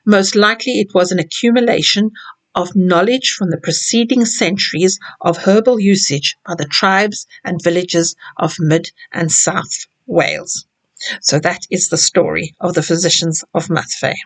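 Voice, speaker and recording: A woman guiding viewers through a hotel room and then telling an old local legend, gently, with small restarts. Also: fairly low pitch, unhurried. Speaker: hotel tour guide. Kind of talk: guided room tour and legend narration.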